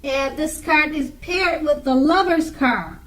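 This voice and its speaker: A woman giving an unscripted tarot card reading in a halting way, pausing and repeating her phrases. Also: high voice, fairly bright tone. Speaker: tarot reader.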